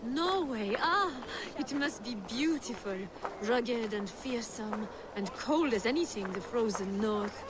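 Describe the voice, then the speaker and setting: Wistful medieval noblewoman, higher voice. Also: lively intonation, slow, few pauses, noisy background. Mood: dreamy. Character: noble medieval woman, wistful, unhappily married, worldly-wise. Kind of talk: dramatic video game dialogue.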